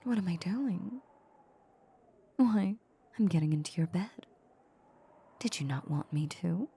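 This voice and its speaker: A woman playing a tender, possessive vampire countess in an intimate ASMR audio drama, speaking in a soft, breathy, coaxing whisper. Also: lowish voice, lively intonation, exaggerated voice. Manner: slow, coaxing, intimate murmur.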